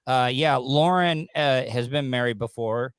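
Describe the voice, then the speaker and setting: A man's voice: mid-range voice, a little slow, clear, resonant tone, no echo. Rambling man hosting a casual podcast. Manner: rambling, restarts and fillers, joking. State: sweating, coming down with a sinus infection. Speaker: podcast host. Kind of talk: casual livestream chat.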